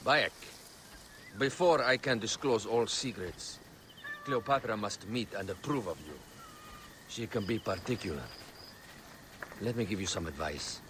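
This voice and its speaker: An actor playing a composed, courtly royal adviser in an ancient Egyptian video game drama, speaking formally and deliberately. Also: male voice, fairly low pitch, noisy background, natural voice. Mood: counselling, discreet.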